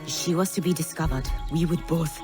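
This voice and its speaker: Sorrowful middle-aged mother, played by an actress: low, tender, formal, game drama, music behind. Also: brisk, natural voice. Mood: cautious, urgent. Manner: solemn, formal phrasing. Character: tender, sorrowful long-absent mother, freed woman in exile. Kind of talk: dramatic video game cutscene acting. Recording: background music.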